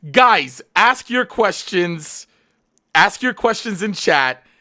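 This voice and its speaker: A man hosting a live stream and interviewing a guest, energetic and hyped, full of fillers and restarts. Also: sits high, slow pace.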